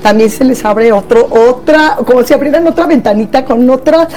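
A Mexican woman with a slightly high voice chats as a therapist guest. She talks freely and often checks in with little tag questions. Faint music sits underneath her voice. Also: moderate pace, a little mellow. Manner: chatty, frequent tag questions. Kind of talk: casual panel chat.

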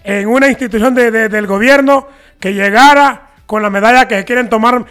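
A man's voice: very high-pitched, moderate pace, a bit bright, clear, resonant tone. A man, a sports radio panelist who talks proudly and insistently, repeating himself.

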